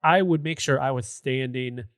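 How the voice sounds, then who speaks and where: A man's voice: mid-range voice, normal speed, no room sound, clean studio sound. A man co-hosting a gaming podcast, chatting casually in a conversational, rambling way. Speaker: podcast co-host.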